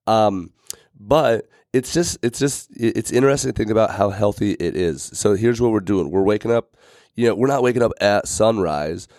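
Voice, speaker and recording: Male podcast host, thirties, slightly raspy, chatty and rambling, dry close mic. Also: fairly low pitch, lively intonation, normal speed, a little mellow, no echo. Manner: rambling, many fillers and restarts. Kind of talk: casual podcast monologue.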